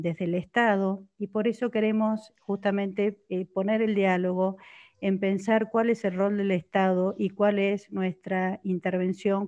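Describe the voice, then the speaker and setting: An Argentine woman opens an online panel as organizer, speaking haltingly with many fillers. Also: medium pitch, darkish tone. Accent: Argentine Spanish. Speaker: organizer, host. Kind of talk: opening remarks at an online panel. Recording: dull lo-fi sound.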